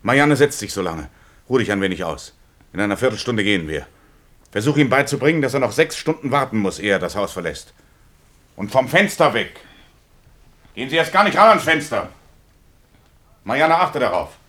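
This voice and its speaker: A man's voice: medium pitch, big pitch swings, normal speed, pauses often, pushed and bright. An actor playing a bitter, commanding leader of burglars in a 1950s German radio play, intense and imperious in his phrasing. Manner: intense, imperious, clipped orders.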